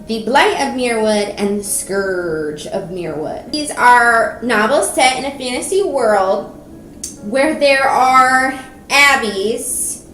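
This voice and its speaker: High-voiced woman reviewing books, echoey room. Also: a bit bright. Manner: enthusiastic, chatty. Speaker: book reviewer. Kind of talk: casual video book review. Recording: roomy echo with background noise.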